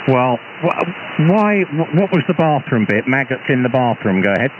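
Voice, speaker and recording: A British man, an amateur radio operator, chatting casually over a shortwave radio link in a halting, hesitant way with repeated false starts. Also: mid-range voice, big pitch swings. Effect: radio transmission. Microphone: radio transceiver, received over shortwave. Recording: muffled, noisy shortwave ham radio signal.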